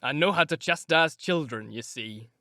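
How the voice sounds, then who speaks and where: A man voicing a harsh, brooding, cruel grown man who speaks in grim, deliberate threats. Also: a little high, lively intonation, bright, forceful tone, dry recording, studio quality. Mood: menacing.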